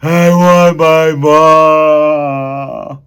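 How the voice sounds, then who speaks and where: A man voicing a dim, weepy young serving girl with a high, shrill, whining and coarse way of talking. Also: wildly swooping pitch, unhurried, a bit bright, slightly rough, full character voice. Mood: wailing.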